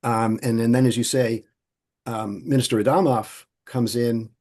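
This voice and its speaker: A man interviewing for an oral history project, thoughtful and halting, often restarting his sentences. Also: mid-range voice, steady tone, a little mellow.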